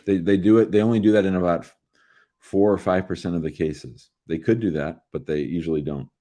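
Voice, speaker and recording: A man's voice: low voice, steady tone, fairly quick, dark tone. A man with an American accent hosting a live call-in show, chatting casually with callers and viewers.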